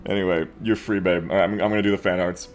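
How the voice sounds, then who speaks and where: A man with a fairly deep voice talks off the cuff as a game streamer. He rambles in an excitable, sweary way, chatting casually to his viewers. Soft music plays behind him. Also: fast. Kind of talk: casual livestream chat to viewers.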